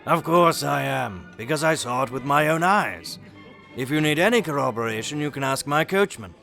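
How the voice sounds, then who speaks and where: A man playing a genial, self-assured gentleman under suspicion in a period mystery audio play, polite and confident in his answers. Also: on the high side, lively intonation, normal speed, creaky, faint music behind, full character voice. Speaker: actor in audio drama.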